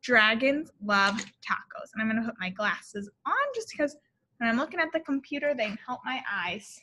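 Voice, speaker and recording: Casting: female teacher, thirties, high bright voice, expressive picture-book read-aloud for little kids. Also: slight background noise. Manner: expressive, deliberate, explaining to young children. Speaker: elementary teacher reading aloud. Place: school classroom, indoors.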